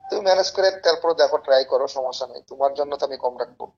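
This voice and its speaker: Fast-talking Bangladeshi man, gravelly and husky. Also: medium pitch, dull audio. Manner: persuasive, pushy, repetitive. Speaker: caller pitching a service as a tout. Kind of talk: informal phone sales pitch.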